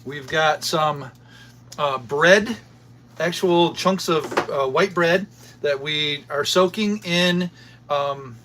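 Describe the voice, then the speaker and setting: A man's voice: fairly high pitch, slow, pushed and bright, slight background noise. A man co-hosting a home cooking stream, laid-back with a dry, teasing manner. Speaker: co-host, cook. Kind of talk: casual cooking chat. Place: home kitchen.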